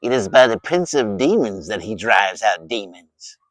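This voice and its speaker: A man acts out a critical religious elder type from a scripture story. He is pointed and challenging, with a very animated pitch in a dry recording. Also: moderate pace, no room sound, low-quality recording. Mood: accusing. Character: questioning, critical religious men challenging a teacher.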